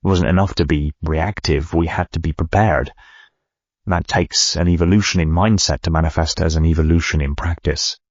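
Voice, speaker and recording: A driven ceo dictating his memoir, super low husky voice, measured and thoughtful, bit muffled. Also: steady tone, no echo. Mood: earnest, resolute. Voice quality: gravelly, husky. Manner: reflective, measured, articulate. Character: driven male medical company CEO recounting his life. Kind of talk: first-person memoir narration.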